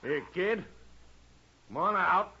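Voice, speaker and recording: An actor playing an agitated, wary frontier rancher in an old time western radio drama. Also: male voice, sits high, animated, slow, pushed and bright, breathy edge, heavily acted. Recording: muffled, noisy old radio recording.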